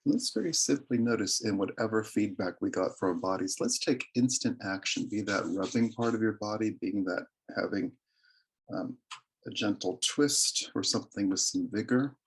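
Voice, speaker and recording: Man, very low voice, slightly rough husky tone, deliberate soothing delivery, prayerful meditation guide. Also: poor audio. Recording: live recording.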